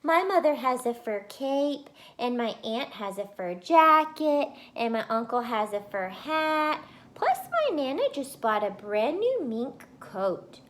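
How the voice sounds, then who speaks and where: A woman's voice: high-pitched, very animated, slow, pitched above the performer's own voice, full character voice. A woman voicing a haughty, know-it-all little schoolgirl in a children's storybook, matter-of-fact and superior. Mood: proud, matter-of-fact. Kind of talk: children's storybook read-aloud.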